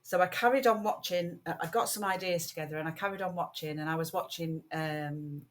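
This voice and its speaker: A British woman hosting a casual crafting video, chatting in a rambling way with many ums, her voice croaky from a lingering cold and cough. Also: fairly low pitch, fairly quick, a little hiss or hum. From United Kingdom. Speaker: craft channel host.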